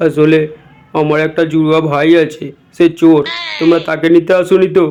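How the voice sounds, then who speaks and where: A man plays a brash young male thief in a funny cartoon. The voice is high yet monotone, with a sly, slangy delivery. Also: brisk, lightly acted. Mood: sly, amused. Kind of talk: comic cartoon dubbing.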